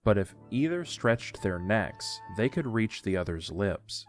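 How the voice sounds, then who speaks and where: A man's voice: lowish voice, very animated, measured pace, faint music behind. A man narrating a scripted story for an audio drama.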